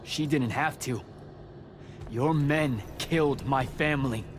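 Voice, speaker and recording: A man's voice: mid-range voice, slow, noisy background, lightly acted. A man playing a brash, irreverent young battlemage recruit in a video game, with a casual, informal way of talking. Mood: angry, defiant. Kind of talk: video game cutscene acting.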